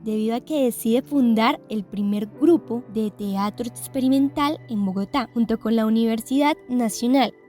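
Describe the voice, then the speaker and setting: A woman's voice: fairly high pitch, moderate pace, few pauses, warm tone, faint music behind. A woman reading a scripted documentary narration.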